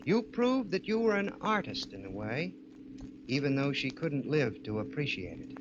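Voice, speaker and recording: An actor playing a mysterious, knowing stranger who taunts with insinuating calm in an old-time radio drama. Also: male voice, on the high side. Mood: sly, taunting. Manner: insinuating, sly, unhurried menace. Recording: muffled, noisy old radio broadcast.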